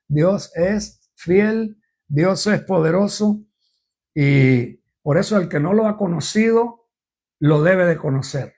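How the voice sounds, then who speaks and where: A man's voice: high voice, gravelly, a little mellow, breathy edge. An older man from Cuba, preaching informally on a live program, rambling through his testimony and repeating refrains.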